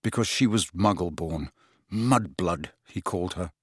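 A man's voice: low voice, measured pace, slightly rough, no room sound, clean studio sound, voice pushed down. A man voicing a grim, bitter teenage boy in an audiobook. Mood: bitter, low.

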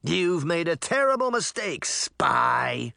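A man's voice: high-pitched, very animated, a little slow, fairly bright tone, no echo, clean studio sound, voice pushed up, heavily acted. A man voicing a cocky, mischievous boy with a taunting, mock-dramatic manner in an audiobook story. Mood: taunting, menacing.

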